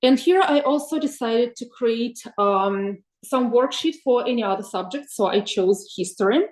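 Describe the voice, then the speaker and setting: Woman presenting, slightly high voice. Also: moderate pace, reverberant. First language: Russian. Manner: thoughtful, many ums and uhs, asks for next slide. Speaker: webinar presenter, teacher. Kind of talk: presenting slides in an online webinar.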